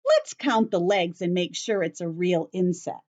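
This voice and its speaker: A woman's voice: lowish voice, lively intonation, a little slow, a little mellow. A woman teacher leading story time for young children, speaking in simple short phrases with careful emphasis and addressing the children directly. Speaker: children's teacher reading a picture book.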